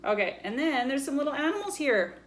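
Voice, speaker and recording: A woman's voice: high voice, very animated, a little fast, noisy background. A woman presenting a children's story time, chatting casually and playfully as she shows things and explains them simply. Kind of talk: casual show-and-tell for children.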